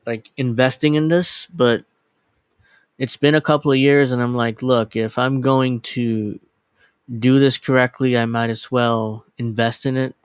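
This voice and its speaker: A man's voice: mid-range voice, fairly even intonation, measured pace, smooth, no room sound. Man, late thirties, livestream host, chatty and rambling, desk microphone, dry room. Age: 30s. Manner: rambling, self-correcting, chatty. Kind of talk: casual livestream chat. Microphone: desk USB microphone.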